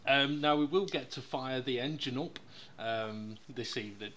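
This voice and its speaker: A man hosting a podcast in casual chat, relaxed and steering the show along. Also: mid-range voice, moderate pace, a little hiss or hum. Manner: relaxed, lots of fillers, runs the show. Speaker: podcast host.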